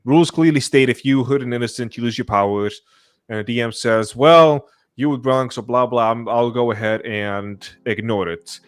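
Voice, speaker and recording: A man's voice: medium pitch, moderate pace, clean tone, no echo, clean studio sound. A man in a casual podcast discussion, laying out hypothetical scenarios and putting questions to the others. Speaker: podcast panelist posing questions.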